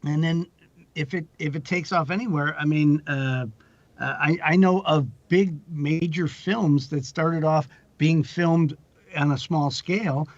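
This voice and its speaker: A man's voice: a little high, fairly even intonation, measured pace, no room sound. A man of about sixty giving casual advice as a podcast guest, rambling and self-deprecating, often repeating his words. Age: 60s. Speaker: podcast guest, actor and producer. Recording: dull lo-fi audio.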